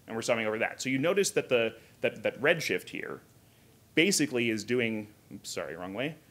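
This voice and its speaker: A man's voice: medium pitch, lively intonation, fairly quick, a bit bright. Casual man presenting at a tech conference. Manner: casual, conversational explaining with asides. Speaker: conference speaker, software engineer. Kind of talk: informal technical conference presentation. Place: hotel conference room, indoors.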